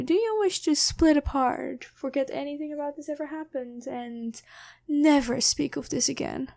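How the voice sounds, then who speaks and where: Woman acting as a slow, high-voiced taunting female demon. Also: vocal fry, darkish tone. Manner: slow, teasing, coolly bargaining. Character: taunting female demon possessing a girlfriend's body. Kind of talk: ASMR fantasy roleplay.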